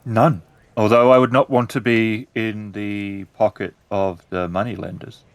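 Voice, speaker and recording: A cautious innkeeper from a samurai-era town, played by a male game master, speaks in a lowish voice. He is deferential and measured, choosing his words carefully in a dry, close sound. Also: little pitch movement, no echo. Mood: guarded, helpful.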